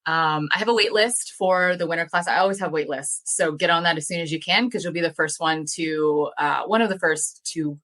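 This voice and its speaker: Woman on a podcast, kinda low voice but bright and punchy, rambly and excited, lots of likes and ums. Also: brisk, few pauses. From United States. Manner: chatty and enthusiastic, many fillers. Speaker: guest, language educator and dairy farmer. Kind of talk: casual podcast interview.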